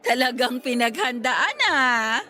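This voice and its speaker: Young sentimental woman in a radio drama, highish bright voice, expressive, faint music under it. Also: slow pace. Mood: proud. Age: young adult. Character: sentimental young working woman, wistful aspiring teacher. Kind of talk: Filipino radio drama acting.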